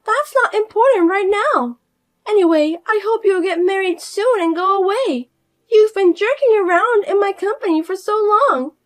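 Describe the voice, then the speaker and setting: A woman voicing a spoiled, arrogant sister-in-law who talks down to everyone in a shrill, scolding way. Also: animated, moderate pace. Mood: indignant. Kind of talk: audio story voice acting.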